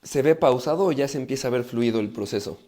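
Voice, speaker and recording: A man's voice: mid-range voice, fast talker, warm tone. A man with a Mexican accent giving an informal live self-help talk to viewers, explaining emphatically and acting out inner dialogue as he goes. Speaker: livestream speaker on relationships and psychology.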